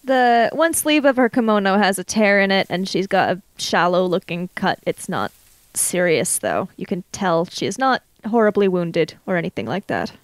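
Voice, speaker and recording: A woman improvises narration for a tabletop role-playing game. Her voice is mid-pitched, crisp and smooth, with a dry recorded sound. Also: lively intonation, normal speed, no echo.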